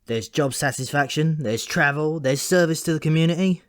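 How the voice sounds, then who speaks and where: An earnest talking raven from a comic fantasy storybook, voiced by a man, a little raised in pitch. He is chatty and insistent, quick to defend himself, and recorded dry and close. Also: dry recording, close to an everyday voice. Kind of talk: comic fantasy audiobook character voice.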